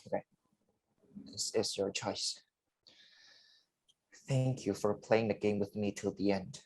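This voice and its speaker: A man's voice: lowish voice, gravelly, a little mellow, heavily acted. A man playing a regretful, self-doubting middle-aged father and game developer in staged reader's theatre, confessional and earnest. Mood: tender farewell.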